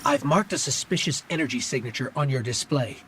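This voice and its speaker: A man's voice: medium pitch, expressive, fairly quick, background noise, natural voice. A man voicing an earnest, curious little robot companion in a video game. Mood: curious, alert.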